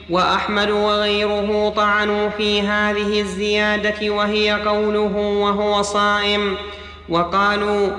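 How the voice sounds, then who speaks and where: Male student reader for a classical religious text, high bright voice, formal recitation, very reverberant hall. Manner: formal recitation, repeating phrases when corrected. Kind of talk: reading a classical religious text aloud in a lesson. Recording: echoey hall with long reverb.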